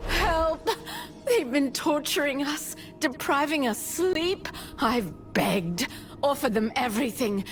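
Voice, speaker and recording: A woman's voice: high voice, lively intonation, slow, long pauses, music in the background. A woman voicing a desperate, tormented captive pleading in a video game.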